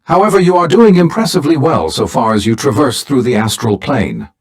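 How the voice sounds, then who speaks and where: A man's voice: mid-range voice, lively intonation. A man acting a dignified, formal older mentor who leads a team of heroes in a video game. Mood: encouraging. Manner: formal, measured, grave.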